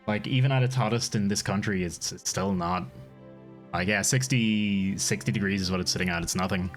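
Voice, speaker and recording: A man's voice: lowish voice, fairly even intonation, fairly quick, faint music behind. A man streaming a game live, chatting casually, swearing freely and laughing often.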